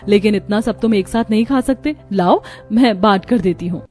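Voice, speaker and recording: A woman's voice: mid-range voice, normal speed, darkish tone, close to an everyday voice. A woman playing an earnest, cheerful young wife who works as a street vendor in a cartoon story. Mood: content, caring. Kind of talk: animated moral story dialogue. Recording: music behind the voice.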